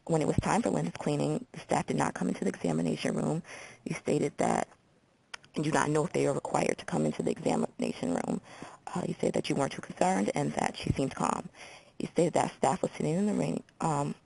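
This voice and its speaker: A woman playing a procedural, businesslike hotline call taker with an American accent and a husky, creaky voice, in a simulated intake call. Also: sits low, steady tone, a little fast, a little mellow, a little hiss or hum, low-quality recording. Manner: procedural, reads details back methodically. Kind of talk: simulated phone intake interview for training.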